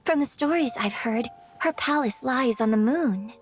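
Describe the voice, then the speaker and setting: A woman voicing a gracious, refined young opera singer in an animated game, polite and articulate. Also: on the high side, moderate pace, faint music behind, natural voice. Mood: curious, admiring.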